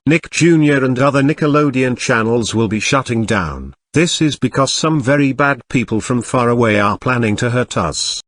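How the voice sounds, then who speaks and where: A man's voice: mid-range voice, no echo. A man reading a scripted announcement for young children as a TV channel presenter.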